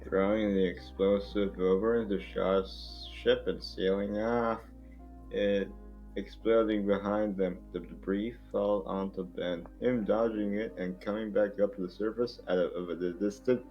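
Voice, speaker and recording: Male amateur narrator, low register, casual and stumbling table read delivery, lo-fi sound with music underneath. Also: steady tone, measured pace. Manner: informal, stumbling over the script.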